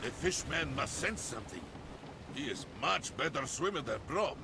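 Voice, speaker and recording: An actor playing a good-natured big warrior in a video game, speaking in simple, foreign-sounding phrasing. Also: male voice, on the high side, animated, moderate pace, gravelly. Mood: good-natured, sheepish. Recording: noisy ambient background.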